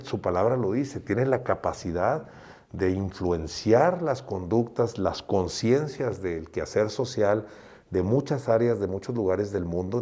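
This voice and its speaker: A middle-aged man from Mexico, a doctor giving an earnest interview, speaking slowly and often restarting his phrases. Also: low-pitched, mellow. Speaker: guest doctor.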